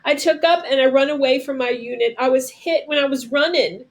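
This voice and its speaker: A young boy soldier, voiced by a woman reading a storybook, frightened and guilt-ridden. The voice sits high and pleads with raw, desperate emotion. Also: a little fast. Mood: distraught confession.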